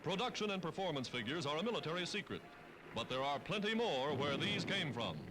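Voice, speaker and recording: A man's voice: on the high side, animated, fairly quick, low-quality recording. An American man narrating a scripted 1940s newsreel in a dramatic, emphatic, declamatory manner, on a vintage film soundtrack. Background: orchestral music.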